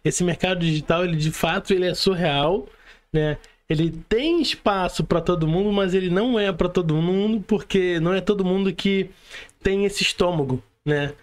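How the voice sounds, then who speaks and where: A male Brazilian podcast host with a distinctly high voice. He speaks casually and with emphasis, in an encouraging, slangy way, often tagging his points with little check-in questions. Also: moderate pace.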